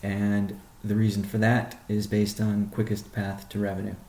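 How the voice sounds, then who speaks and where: A man speaking as a company chief executive on a conference call, answering questions in a measured, deliberate, halting way. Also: on the low side, completely flat delivery, darkish tone, echoey room.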